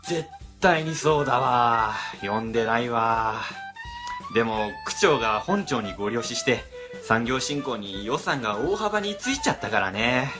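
A man's voice: mid-range voice, lively intonation, unhurried, over music, close to an everyday voice. A man playing a weary, exasperated middle-aged office boss in a radio comedy drama. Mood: weary, grumbling.

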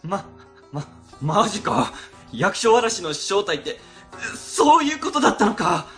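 Man acting a jumpy, high-pitched, cowardly office worker. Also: big pitch swings, measured pace, fairly bright tone, soft background music, exaggerated voice. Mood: shocked, spooked. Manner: stammering, flustered. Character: jumpy, cowardly male government office worker, easily spooked. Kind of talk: comic radio drama acting.

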